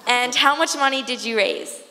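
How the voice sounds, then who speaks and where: A woman's voice: high-pitched, moderate pace. A young woman co-hosting an event, presenting informally from a stage through a handheld microphone. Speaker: event co-host. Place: large auditorium hall on stage. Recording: echoey hall PA with background noise.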